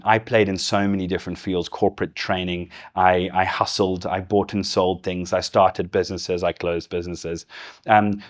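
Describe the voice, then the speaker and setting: A deep, low-pitched middle-aged man speaks with a Zimbabwean accent worn partway into English. He tells his own story in a relaxed, candid way and laughs easily. It sounds like an interview guest in casual conversation. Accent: Zimbabwean with English influence. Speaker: guest, business executive.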